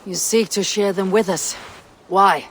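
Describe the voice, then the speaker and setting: Composed warrior woman played by an actress for a game, measured authority, faint noise behind. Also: medium pitch, natural voice. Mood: formal. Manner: measured, commanding, dry. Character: firm, composed Viking warrior woman, clan leader.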